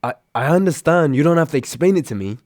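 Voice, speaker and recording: A man's voice: fairly high pitch, animated, fast talker, darkish tone, no echo, lightly acted. Man playing a grumbling, sarcastic young roommate, dry close sound. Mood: exasperated, protective. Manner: grumbling, sighing, exasperated. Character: grumpy sarcastic young male roommate, secretly caring, woken from sleep. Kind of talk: intimate roleplay audio drama, one side of a phone call.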